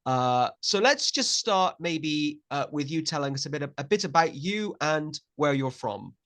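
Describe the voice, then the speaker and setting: A man's voice: on the high side, big pitch swings, measured pace, fairly bright tone. A man hosting an interview podcast, chatty and repeating his words, with many filler sounds. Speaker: podcast host.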